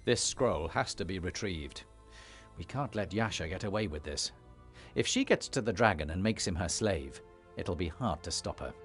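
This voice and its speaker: An actor playing a resolute emissary and elder in a fantasy video game, firm, serious and authoritative. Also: male voice, sits low, animated, normal speed, fairly bright tone, faint music behind. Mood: stern. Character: commanding male dragon-blooded emissary and elder, resolute hero. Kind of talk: dramatic video game acting.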